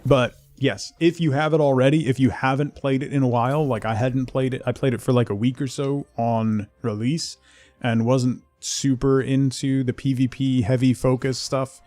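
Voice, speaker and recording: A man's voice: medium pitch, steady tone, normal speed, a little mellow, no room sound, faint music behind. A middle-aged man streaming a game, chatting casually with viewers and muttering to himself in between. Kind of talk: casual chat while playing a game, replying to viewers.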